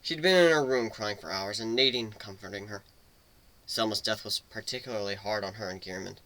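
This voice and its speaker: A man's voice: on the low side, brisk, bright, forceful tone, rough recording. A man narrating a scripted story as a dramatic reading.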